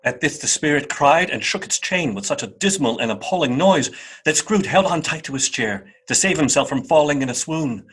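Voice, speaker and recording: A man narrating a classic story for a radio play in a measured, dramatic storytelling manner. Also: a little high, animated, a bit bright, breathy edge.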